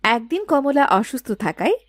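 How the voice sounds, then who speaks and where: A woman's voice: high voice, big pitch swings, moderate pace, dry recording. A woman narrating a village moral tale as a scripted cartoon storyteller.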